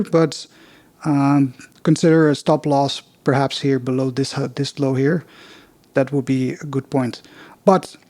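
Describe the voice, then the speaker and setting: Non-native podcast host with a Dutch accent, slightly high-pitched, dry recording, relaxed and unscripted. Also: male voice, no room sound. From Netherlands. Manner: loose and unpolished, many fillers and restarts. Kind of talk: casual investing podcast with chart walkthrough.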